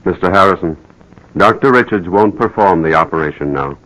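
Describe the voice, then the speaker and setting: An actor playing a grave, apologetic doctor in a radio drama, speaking in a measured, halting way. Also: male voice, low voice, a bit bright, low-quality recording. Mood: grave, reluctant. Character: grave, hesitant male doctor breaking bad news. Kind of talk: 1940s radio drama acting.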